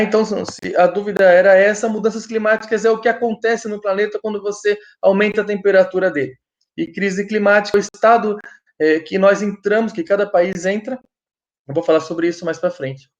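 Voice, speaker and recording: Brazilian guy w a high voice presenting on a video call, lots of stops and restarts. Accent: Brazilian Portuguese. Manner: hesitant, pauses often to restart. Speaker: guest speaker. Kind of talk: online presentation.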